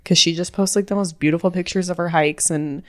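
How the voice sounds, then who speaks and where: A woman's voice: on the low side, fairly even intonation, a little fast. A woman in her twenties co-hosting a podcast, chatting casually and filling her talk with "like.". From Utah. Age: 20s. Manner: chatty, many "like" fillers, quotes her own thoughts. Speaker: podcast co-host. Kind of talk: casual chat.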